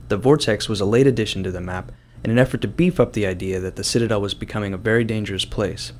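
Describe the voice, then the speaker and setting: A man's voice: fairly low pitch, fast. A man narrating prepared commentary as a game developer.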